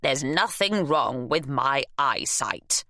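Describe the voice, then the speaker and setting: A woman voicing a nosy, chatty older woman who runs a shop, insistent and quick to huff. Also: low-pitched, huge pitch swings, fairly bright tone, dry recording, studio quality, full character voice. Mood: huffy.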